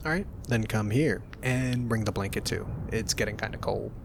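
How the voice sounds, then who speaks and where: A young man with a lowish voice talks softly and gently, teasing in a playful way. It is an intimate ASMR roleplay delivery. A steady hiss runs under the recording. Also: moderate pace, vocal fry. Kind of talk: soft-spoken ASMR roleplay.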